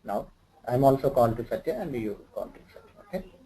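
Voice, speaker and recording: Halting Indian man with a mellow voice. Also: mid-range voice, expressive, breathy edge, rough recording. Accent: Indian English. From Andhra Pradesh, India. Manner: halting, filler-heavy, loose grammar. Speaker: SAP HR instructor. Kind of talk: informal one-on-one tutoring.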